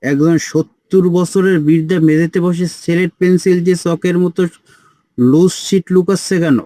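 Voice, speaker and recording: A man's voice: high-pitched, steady tone, normal speed, warm tone, no room sound, lightly acted. A man voicing an officious, easily baffled school inspector who questions everything in a comic cartoon. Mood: astonished.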